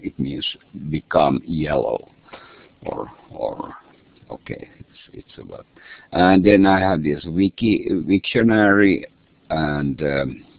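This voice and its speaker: A Finland-Swedish man with a very low, gravelly voice talks slowly and hesitantly, full of fillers. The sound is thin and tinny, like a phone line, and dry. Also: expressive, no room sound. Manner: hesitant, many fillers and restarts. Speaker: language course creator. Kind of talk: screen-share lesson walkthrough.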